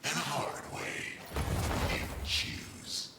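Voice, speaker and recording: A man voicing a sadistic, gloating jailer in a video game, threatening with slow, deliberate taunts. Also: reverberant, over a noisy crowd. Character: menacing male jailer, sadistic, gloating villain. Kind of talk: video game villain acting.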